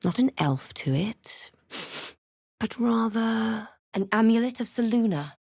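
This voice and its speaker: A woman voicing a wary, composed adventurer heroine for a fantasy video game, with measured, dramatic delivery. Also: on the high side, expressive, vocal fry, darkish tone, husky, dry recording, studio quality, heavily acted. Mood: wry, measured.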